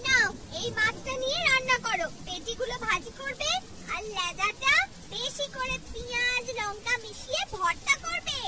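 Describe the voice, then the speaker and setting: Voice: extremely high pitch, normal speed. A performer voicing bossy, food-loving village women and girls in a cartoon. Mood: bossy, pleased. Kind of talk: Bengali cartoon dubbing. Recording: distant voice under clear music.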